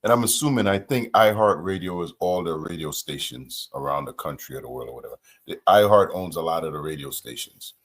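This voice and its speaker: A man's voice: low-pitched, expressive, a little fast, few pauses. A man hosting a reaction channel, talking casually and musing aloud with rhetorical questions.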